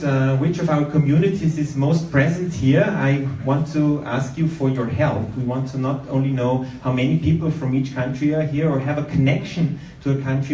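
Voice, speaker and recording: A man from Austria hosts an event, working the crowd with lively shouted questions. His mid-range voice is picked up from a distance in an echoey room, with faint noise behind him. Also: medium pitch. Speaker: event organizer and host. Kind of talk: upbeat crowd-work introduction. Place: large event venue indoors.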